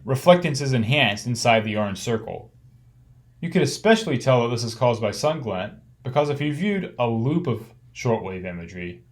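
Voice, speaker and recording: A man narrating an instructional slide lecture, explaining things step by step in measured, clearly organised phrases. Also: mid-range voice.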